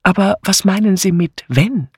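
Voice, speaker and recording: A man's voice: sits high, normal speed, husky, no room sound, studio quality, voice pushed up. Man voicing a gushing, rambling vicar's wife. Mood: eager. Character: chatty scatterbrained vicar's wife, middle-aged. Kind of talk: audiobook character voice.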